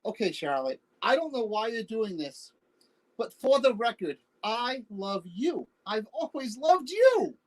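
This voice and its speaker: A woman playing a defensive, evasive older husband, a Vietnam veteran, halting and exasperated in a naturalistic play reading. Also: a little high, very animated, rough recording. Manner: halting, defensive, repeats words. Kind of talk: virtual staged play reading, naturalistic dialogue.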